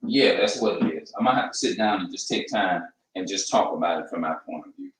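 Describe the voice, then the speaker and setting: Low-voiced New man, rambling. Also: brisk, fairly bright tone, recorded from a distance. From New Orleans, USA. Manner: rambling, hesitant, many restarts and fillers. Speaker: channel host. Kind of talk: casual vlog talk. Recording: echoey room, voice off-mic.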